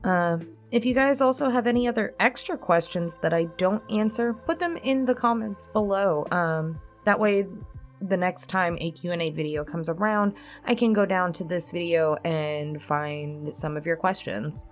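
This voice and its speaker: A woman with a fairly low voice hosts a casual chat for her audience. She talks informally and feels her way through her phrasing, with music faintly behind her. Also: moderate pace. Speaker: host, roleplay ASMR voice actor. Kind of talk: casual chat to viewers in a pre-recorded Q&A.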